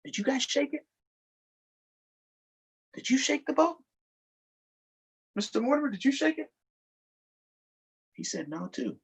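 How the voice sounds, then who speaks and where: Man, children's storytime host, playful asides and questions, very animated pitch, slightly husky. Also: a little slow, pauses often. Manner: playful, engaging listeners with questions. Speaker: storytime host, public official. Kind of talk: children's read-aloud with asides to listeners.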